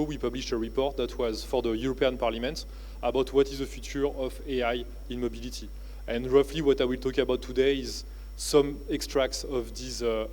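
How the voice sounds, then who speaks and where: Halting man with a French accent. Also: mid-range voice, darkish tone, low-quality recording. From France. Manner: halting, with many "uh" and "you know, like" fillers. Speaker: keynote speaker. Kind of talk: conference keynote talk. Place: convention centre stage.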